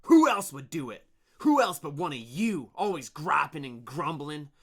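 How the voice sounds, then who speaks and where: A man voicing an angry, bossy adult team leader who shouts accusations at workers. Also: medium pitch, animated, normal speed, pitched above the performer's own voice. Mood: furious.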